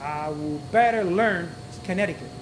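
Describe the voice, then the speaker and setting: High-voiced man teaching, non-native speaker. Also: moderate pace, noisy background. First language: not English. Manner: repeats key points, instructive. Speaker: cigar shop host. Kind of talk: live instructional talk. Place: cigar shop. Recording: echoey room with crowd chatter.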